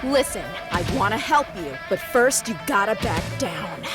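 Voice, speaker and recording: A woman's voice: medium pitch, expressive, normal speed, natural voice. A woman voicing a tough, cocky female mercenary in a game, blunt and teasing, over game music. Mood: firm.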